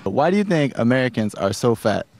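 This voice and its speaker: Man, street interviewer, casual, conversational, medium-pitched voice. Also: big pitch swings, a little fast, darkish tone.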